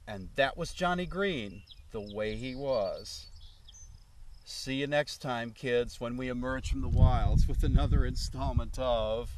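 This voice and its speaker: A man's voice: mid-range voice, measured pace, darkish tone, slight background noise. A man introducing and closing his own series in a playful, tongue-in-cheek manner. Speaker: host of the series. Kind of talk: wry spoken introduction. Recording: voice a little far from the mic.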